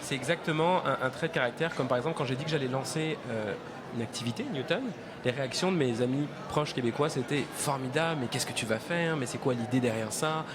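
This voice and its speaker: A man's voice: medium pitch, quick pace, noisy background. A man from France, a guest entrepreneur, eagerly jumping into a casual live radio talk.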